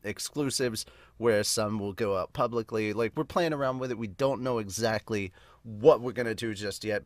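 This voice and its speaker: A man's voice: on the low side, no room sound. A man who hosts a podcast, talking casually to viewers in a chatty, rambling, self-correcting way. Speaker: podcast host and amateur manga dubber. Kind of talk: casual unscripted talk to viewers.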